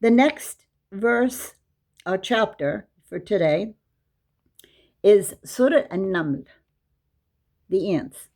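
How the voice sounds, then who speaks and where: A woman's voice: mid-range voice, animated, drawn-out words, long pauses, darkish tone. A woman giving a casual religious lesson, explaining verses and reciting Arabic. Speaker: Quran teacher.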